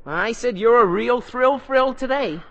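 A man's voice: very high voice, unhurried, gravelly, highs cut off, exaggerated voice. An actor playing an eager, exasperated teenage boy in a 1940s radio comedy. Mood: eager, showing off. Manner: animated, incredulous. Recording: old 1940s radio broadcast.

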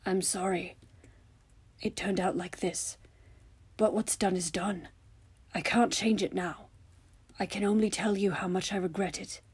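A woman voicing a calm, apologetic male werewolf teacher in a storybook, gentle and placating, with a hoarse voice. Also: high-pitched.

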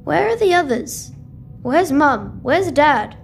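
A woman's voice: high-pitched, very animated, slow, creaky, soft background music, exaggerated voice. A woman playing an anxious, trusting young boy in a sci-fi audio drama, full of plaintive questions. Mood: anxious, insistent.

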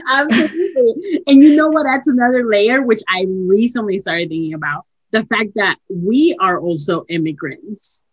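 A woman's voice: medium pitch, a little slow, breathy edge, rough recording. A young woman hosting a podcast, affirming her guest and thinking aloud about family and immigration. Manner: warm affirming backchannels, thoughtful. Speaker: podcast host. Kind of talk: casual conversation.

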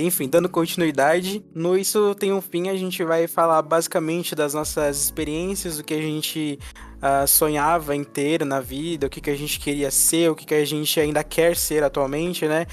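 A man's voice: on the high side, a little slow, faint music behind. A man in his twenties hosting a casual podcast chat, rambling and joking. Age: 20s. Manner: rambling, joking, laughs easily. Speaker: podcast host.

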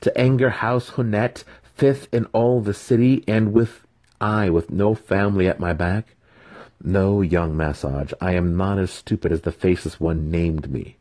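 Man acting male dark elf noble, husky, proud, bitter, sneering, intense, fantasy storybook. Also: low-pitched, steady tone, unhurried. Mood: indignant.

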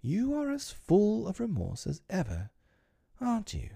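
A man voicing a timid, pleading mother, raised high. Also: huge pitch swings, a little slow, vocal fry, dark tone, slightly rough, exaggerated voice. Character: gentle anxious mother, woman, middle-aged. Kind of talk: audiobook character voice.

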